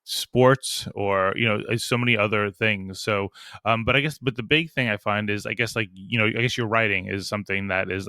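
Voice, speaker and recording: Bright-toned man, rambling podcast host. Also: on the low side, no echo. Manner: rambling, laughs easily. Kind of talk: casual interview questions.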